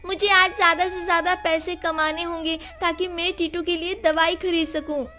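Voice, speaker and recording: A woman playing a brave, caring poor orphan girl in an animated moral story, earnest and hopeful. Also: extremely high pitch, normal speed, voice pushed up. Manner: earnest, reassuring. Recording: music behind the voice.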